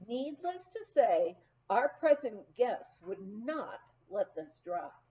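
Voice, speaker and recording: A woman reading a humorous memoir aloud as an expressive, animated storyteller, acting out the dialogue. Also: a little high, takes it slowly, lo-fi sound. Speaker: audiobook reader.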